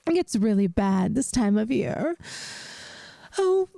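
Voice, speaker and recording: A woman plays a kindly vampire schoolteacher with a dry wit, in an intimate ASMR roleplay. Her female voice sits a little high yet stays mellow. She speaks slowly and reassuringly, straight to the listener. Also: no room sound, heavily acted. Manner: gentle, soothing, speaks directly to the listener. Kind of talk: ASMR audio roleplay, scripted.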